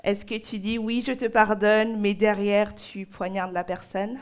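A young woman from Nigeria, preaching and giving a personal testimony in short phrases with pauses for an interpreter. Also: medium pitch, little pitch movement, clean tone. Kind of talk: sermon in French.

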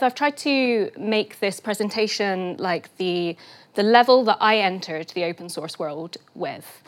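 A woman giving a lunchtime lecture, a little croaky from a cold, explaining terms slowly with fillers and restarts. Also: mid-range voice. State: getting over a cold, a bit croaky. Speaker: guest lecturer. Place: lecture room.

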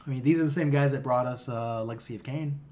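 A man's voice: mid-range voice, fast talker, a little mellow. A man co-hosting a podcast in casual, irreverent, profanity-sprinkled chat about games. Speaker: podcast co-host.

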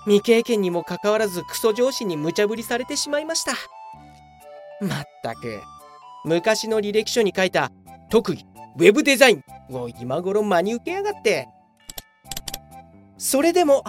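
A woman's voice: mid-range voice, close to an everyday voice. A woman playing a cocky, clueless young male office worker in a comedic radio drama, theatrical and self-satisfied.

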